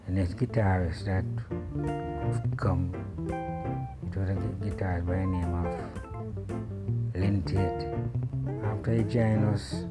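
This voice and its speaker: Deep, slow-talking musician. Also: male voice, loud music behind the voice. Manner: halting, many restarts. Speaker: musician, interviewee. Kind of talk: reminiscing interview.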